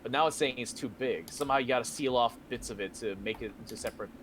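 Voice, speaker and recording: A man's voice: mid-range voice, fast, a bit bright. A young man chatting casually while playing a game with a friend, telling long rambling stories and laughing often. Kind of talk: casual gaming chat. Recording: game music under voice. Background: game music.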